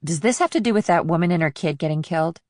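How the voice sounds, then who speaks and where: A defiant, streetwise teenage girl, acted by a woman in an audiobook thriller. Her voice sits on the low side and is recorded dry and close. She talks back with flippant sarcasm and keeps her guard up. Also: lively intonation, quick pace, dry recording, natural voice. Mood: matter-of-fact. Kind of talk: audiobook character dialogue.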